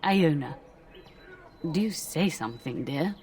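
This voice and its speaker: A woman's voice: low voice, animated, takes it slowly, darkish tone, distant mic. A woman playing an effusive middle-aged noble lady in a fantasy game, a genteel and gushing matchmaking mother. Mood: genteel, eager.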